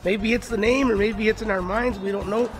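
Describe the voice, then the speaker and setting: High-voiced folksy American man, music behind. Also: fairly even intonation, brisk. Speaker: roadside chile vendor interviewed. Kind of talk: casual interview answers. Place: open-sided roadside stand, outdoors. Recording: music over outdoor interview. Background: clear background music, outdoor sounds.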